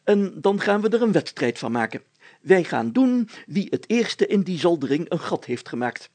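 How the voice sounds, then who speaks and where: A man's voice: high-pitched, very animated, normal speed, darkish tone. A man voicing a pompous, bossy village mayor in a storybook, grandly declaiming like a self-styled hero. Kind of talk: storybook character voice.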